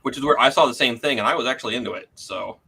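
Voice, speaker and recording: A man's voice: medium pitch, rapid-fire, vocal fry, bright, forceful tone, husky. Man, game show host, casual comedic banter, mid-range voice.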